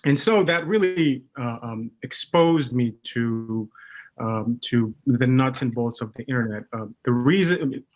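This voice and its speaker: A man's voice: mid-range voice, a little slow, poor audio. A man in his thirties from Morocco, speaking as a guest on a panel webcast, with many fillers and restarts. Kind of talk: casual panel chat.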